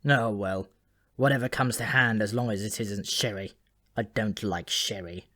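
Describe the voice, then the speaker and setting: A man's voice: on the low side, moderate pace, fairly bright tone. Man putting on a grumpy, sneering dwarf prince. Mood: grumbling. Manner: dry, scornful, complaining.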